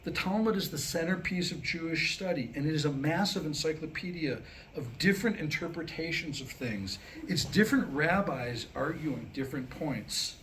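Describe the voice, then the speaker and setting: A middle-aged man performs a comedic monologue to a live audience, with a voice that sits a little high and swings widely in pitch. He moves between one-liners and storytelling. The live recording carries some faint room noise behind him. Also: animated, normal speed, a bit bright, rough recording. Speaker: playwright performing a staged reading.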